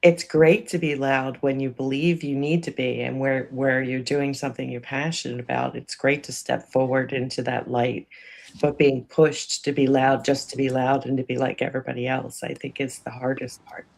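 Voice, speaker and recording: A woman on a panel who speaks in a measured, earnest way. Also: a bass voice, little pitch movement, vocal fry, a little mellow, poor audio. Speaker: guest panelist.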